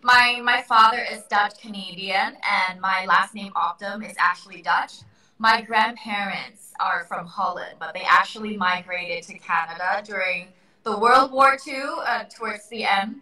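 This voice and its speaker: A bright, earnest young woman from Thailand answers interview questions on a video call. Her voice sits in the middle range and carries clearly. The room around her is very echoey, and she is a little distant from the microphone. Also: medium pitch, a little slow. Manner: earnest, upbeat, laughs often. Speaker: guest. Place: indoor room by a window. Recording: echoey room, far from the mic.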